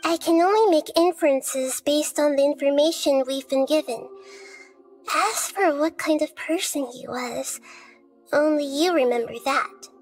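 High, thoughtful child-like goddess. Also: female voice, low-quality recording. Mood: pondering. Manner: measured, articulate, reflective. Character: gentle, thoughtful child-like girl deity. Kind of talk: scripted game dialogue. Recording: game music behind.

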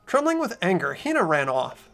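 An actor plays an earnest, anxious teenage boy in a video game. The voice is bright, forward and lively in pitch, with music behind it. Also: moderate pace, gravelly, exaggerated voice. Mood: somber. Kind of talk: amateur video game voice-over. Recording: background music throughout.

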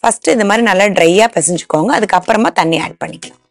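Woman in her 30s walking viewers thru a recipe, bit of gravel in her voice, lapel mic in a tv kitchen. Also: medium pitch, fast. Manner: explains each step plainly to viewers. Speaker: cooking show host. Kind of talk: step-by-step cooking demonstration. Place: studio kitchen set.

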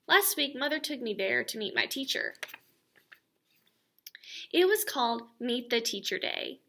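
A young woman teacher reads a storybook aloud to young children, lively and expressive. Her voice is bright and a little high. It is picked up by a laptop webcam in a classroom. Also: a little slow, a little hiss or hum. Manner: expressive and animated. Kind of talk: children's book read-aloud. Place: school classroom, indoors.

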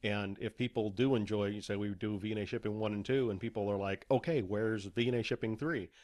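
A man's voice: lowish voice, fairly even intonation, brisk, a little mellow, dry recording. A man talking casually as an author guest in an interview, explaining things with acted-out examples.

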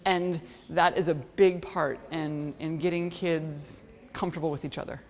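Woman, lowish voice, thoughtful interview talk with pauses, reverberant room. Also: steady tone, soft background music. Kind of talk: interview about teaching children.

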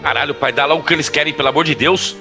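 A man's voice: fairly high pitch, very fast, over music, close to an everyday voice. An actor playing an insolent, spoiled young man in an audio drama, talking in slang. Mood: pleading. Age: young adult.

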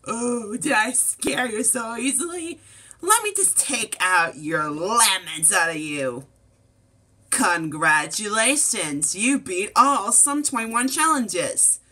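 A woman's voice: mid-range voice, lively intonation, slow, sharp tone. A woman performing home-made character lines in an exaggerated, theatrical way, recorded on a distant microphone. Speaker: amateur video creator voicing toy characters. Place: indoor room at a desk.

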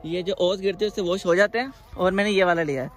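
A man's voice: sits high, steady tone, quick pace, a bit bright. A young man vlogging outdoors in an orchard, casual and enthusiastic, mixing in English words. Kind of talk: casual travel vlog.

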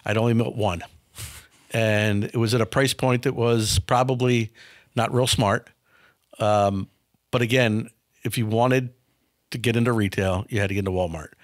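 A man's voice: fairly low pitch, fairly even intonation, moderate pace, pauses often, dry recording. A man in his fifties, a company founder, telling candid business stories in a studio interview, often repeating words as he starts a thought. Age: 50s. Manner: frank, anecdotal, repeats words when starting. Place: dark studio set. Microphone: studio boom mic.

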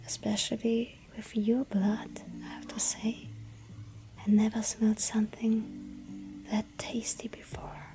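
A woman voicing a lonely yandere vampire girl in an ASMR roleplay, whispering softly and pleading. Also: on the high side, monotone, takes it slowly, music in the background, rough recording. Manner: breathy soft whisper, pleading.

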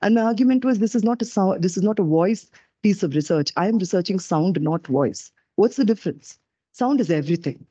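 A middle-aged woman with a low-pitched voice gives an animated, self-deprecating public lecture into a podium microphone. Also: brisk, breathy edge, dry recording, lo-fi sound. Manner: animated, self-deprecating, gestures while talking. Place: dark auditorium stage.

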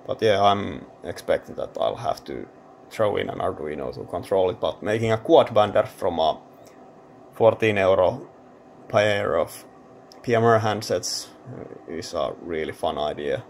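A man's voice: sits low, lively intonation, measured pace, gravelly, a little hiss or hum. A man giving casual hands-on tech commentary, thinking aloud informally as he hosts a teardown video. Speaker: host of electronics teardown channel.

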